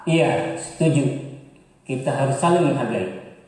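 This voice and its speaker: A man voicing a patient teacher who guides a student with gentle questions in a teacher training role-play, heard in an echoey hall. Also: medium pitch, expressive, unhurried, natural voice. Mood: coaxing. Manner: gentle, asks guiding questions. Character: adult man playing a calm, patient male teacher.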